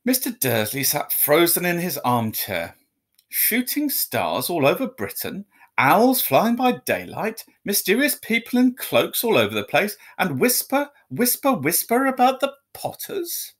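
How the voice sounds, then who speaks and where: A man reading a children's story aloud like a teacher, in expressive narration. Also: high voice, a little slow.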